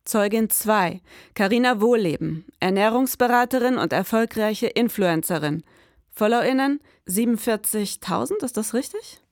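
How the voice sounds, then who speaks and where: A woman playing a brisk, orderly detective who narrates a case in a mock-serious, businesslike noir style. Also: medium pitch, expressive, no room sound, clean studio sound, close to an everyday voice.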